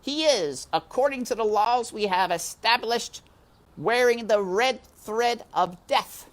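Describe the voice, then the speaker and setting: A reader voicing an irritable, officious hooded male mouse guard in a storybook, loud, pompous and impatient. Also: mid-range voice, takes it slowly, pitched above the performer's own voice, lightly acted. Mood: pompous, official.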